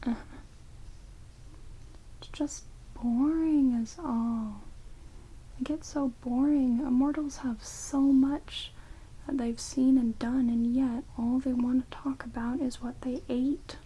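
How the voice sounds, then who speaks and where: A woman playing a sardonic, playful vampire caretaker in a soft, breathy ASMR roleplay whisper. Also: sits high, slow pace, exaggerated voice, rough recording. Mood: gossipy, bored. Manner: teasing, dry, casually chatty. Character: sardonic immortal female vampire, rude yet playful caretaker. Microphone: close binaural microphones. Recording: steady rain noise on binaural recording. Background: heavy rain.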